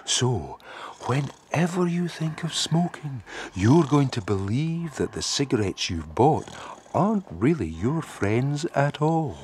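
A man's voice: medium pitch, very animated, takes it slowly, darkish tone, slight background noise. An actor playing an indignant, easily flustered male psychiatrist in a radio drama, speaking precisely. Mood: slow, soothing. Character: exasperated, indignant male psychiatrist who practises hypnosis.

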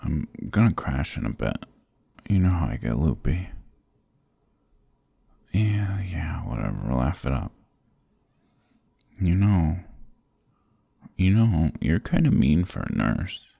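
A man voicing a stubborn but affectionate sick young boyfriend in an intimate ASMR roleplay, soft, husky and drowsy, murmuring close to the mic. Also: very deep voice, very animated, takes it slowly, long pauses, no echo, heavily acted. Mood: teasing, sleepy. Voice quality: husky, gravelly, breathy. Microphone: close, dry microphone.

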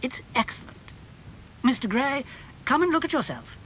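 A narrator playing a suave, amused young aristocratic gentleman in a dramatised audiobook. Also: high-pitched, sing-song, moderate pace, muffled recording, a little hiss or hum, pitched above the performer's own voice. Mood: smooth amusement.

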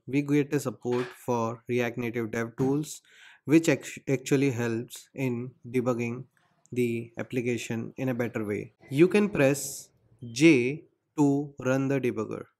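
A man's voice: medium pitch, a little slow. A man hosting a screen-recorded coding tutorial, explaining steps and spelling out terminal commands. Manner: steady, instructional, spells out commands.

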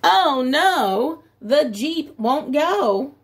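Casting: middle-aged female preschool teacher for storytime, highish voice with gravelly creak, expressive and explanatory. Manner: expressive, drawn-out story phrases with simple explanatory asides. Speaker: preschool teacher reading aloud. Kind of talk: picture-book read-aloud for young children. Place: small room at home, indoors by a window.

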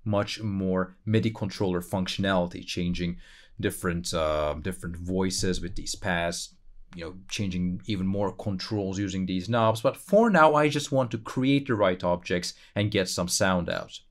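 A man talking through a software tutorial in an informal, explanatory way, thinking aloud as he goes. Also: deep voice, normal speed. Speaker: tutorial presenter. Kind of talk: casual screen-recorded software tutorial. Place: voice-over for a screen recording.

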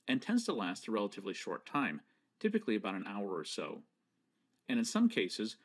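Man lecturing with a lively pitch. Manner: measured, explanatory and precise. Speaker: presenter. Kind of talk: scripted slideshow lecture.

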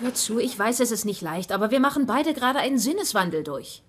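An actress in a dubbed sitcom playing a sharp, assertive wife with a pointed, insistent way of talking. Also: female voice, fairly high pitch, big pitch swings, quick pace. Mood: earnest. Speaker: dub actor in a sitcom.

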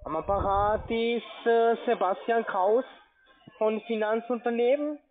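A man, not a native German speaker, making a halting sales call as a call centre agent. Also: very high voice. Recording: tinny phone line.

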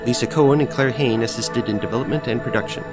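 A man's voice: mid-range voice, fast. A man reading scripted end credits as the announcer of an audio play. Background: new-age music.

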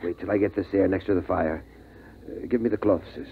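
An actor playing a steady, protective father in a 1950s radio drama, firm, practical and reassuring. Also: low-pitched, a little fast, vocal fry, slight background noise. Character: steady, protective father calming his family. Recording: tinny band-limited 1950s radio recording.

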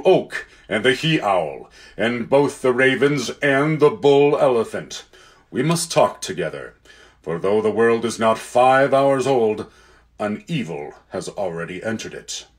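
A man voicing a great lion king in a storybook, kind and commanding, with slow, measured, solemn pronouncements. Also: on the low side. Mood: grave, commanding.

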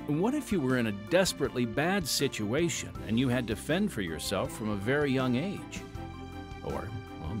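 A man hosting a podcast with scripted, conversational narration, addressing listeners directly. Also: fairly low pitch. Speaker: podcast host.

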